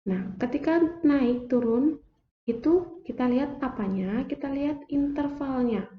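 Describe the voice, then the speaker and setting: A young woman explaining a math lesson slowly, step by step, like a teacher at a board. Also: high voice, slight background noise. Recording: echoey room, dull lo-fi sound.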